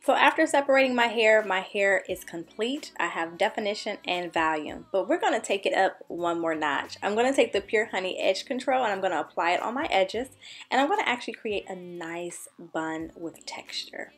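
A woman's voice: medium pitch, lively intonation, moderate pace. A young woman beauty vlogger narrating a scripted tutorial voice-over in an upbeat, enthusiastic manner. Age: young adult.

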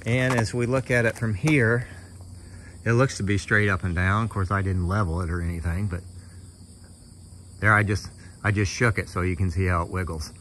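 A man's voice: low voice, steady tone, normal speed, pauses often, a bit bright. A middle-aged American man giving a casual, unscripted show-and-tell outdoors in a plain-spoken, folksy way. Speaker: hobbyist presenter. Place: outdoors in a yard and on a roof. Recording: outdoor recording with insect noise.

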